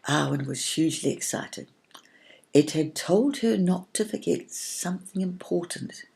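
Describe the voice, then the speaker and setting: A woman storyteller reading a children's story expressively, in a gentle manner with dramatic pauses. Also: lowish voice, lively intonation, creaky.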